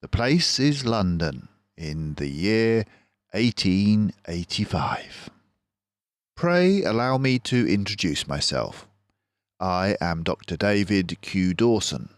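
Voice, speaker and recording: An older man narrates a children's detective storybook aloud. His voice is fairly low and he takes his time, very slow and deliberate with each phrase. The sound is close and dry. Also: dry recording.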